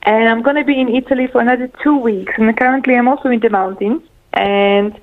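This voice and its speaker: A woman's voice: on the high side, fairly even intonation, fast talker. Slovakian woman on a tinny call line. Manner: enthusiastic, laughs often. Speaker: guest, live show host. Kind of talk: casual interview on a call. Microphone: remote call. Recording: phone-like remote call line.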